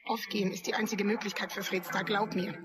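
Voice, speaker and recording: A woman's voice: medium pitch, little pitch movement, fairly quick, heavy background noise, lightly acted. An actress playing a grim, commanding female hacker in a German sci-fi audio drama, speaking tersely and urgently. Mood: grim, resigned. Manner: terse, commanding, guilt-ridden. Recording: loud music bed under dialogue.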